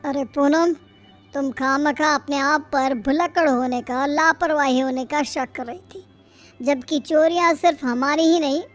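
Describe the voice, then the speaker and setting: An earnest older husband, voiced by a woman in a moral cartoon tale. The voice is high and explanatory, with faint music behind. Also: fairly even intonation, a little slow. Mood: concerned. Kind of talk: animated moral-story acting.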